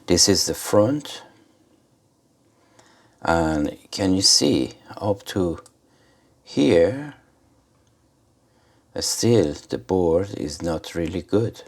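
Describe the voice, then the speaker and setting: A man giving an informal hands-on commentary for a repair video, speaking haltingly in short phrases with pauses. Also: deep voice, animated. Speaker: host of an electronics repair video.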